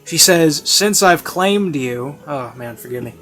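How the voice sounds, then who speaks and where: A man's voice: fairly high pitch, animated, sharp tone. Hesitant man rambling over classical music. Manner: hesitant, halting, thinking aloud. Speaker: reviewer of audio series. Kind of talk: casual rambling spoken review. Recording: classical music playing behind.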